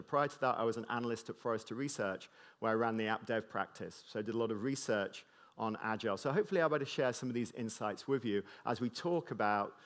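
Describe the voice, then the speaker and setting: A middle-aged man from Britain speaks with British English lightly tinged by mid-Atlantic sounds. He delivers a conference keynote in a loose, joking way, full of ums and restarts. His voice sits at an ordinary middle pitch for a man. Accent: British English with a slight mid-Atlantic tinge. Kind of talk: conference talk to a live audience.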